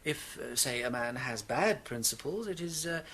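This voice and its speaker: An actor playing a gentle, inquisitive priest detective in a radio drama, hesitant and courteous in manner. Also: male voice, medium pitch, lively intonation, moderate pace, slight background noise, lightly acted. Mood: mild, thoughtful. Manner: hesitant, courteous, mildly probing.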